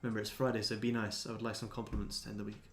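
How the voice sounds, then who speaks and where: A man's voice: on the low side, monotone, rapid-fire. Casual young man livestreaming over music. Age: 20s. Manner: laid-back, blokey, sweary. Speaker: livestream host, day trader. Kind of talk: casual livestream chat answering viewers.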